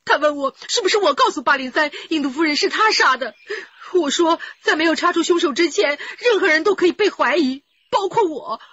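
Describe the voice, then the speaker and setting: A woman's voice: high voice, lively intonation, a little fast, fairly bright tone, slight background noise. An actress playing a distraught woman rose grower who cries and pleads while telling detectives what happened. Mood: tearful. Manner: sobbing, pleading, wailing. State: crying. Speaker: witness in a murder case. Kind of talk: broadcast radio drama acting. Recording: dull lo-fi broadcast audio.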